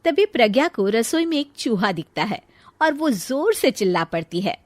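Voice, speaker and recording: A woman narrating a cartoon story in a scripted, animated storytelling style. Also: on the high side, normal speed, no room sound.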